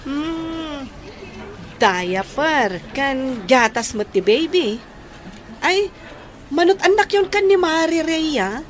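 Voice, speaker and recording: Actress as a high, chatty gossipy woman. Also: lively intonation, slow pace, long pauses, slight background noise, low-quality recording. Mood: friendly, prying. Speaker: voice actor in a radio drama. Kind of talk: Filipino radio drama acting.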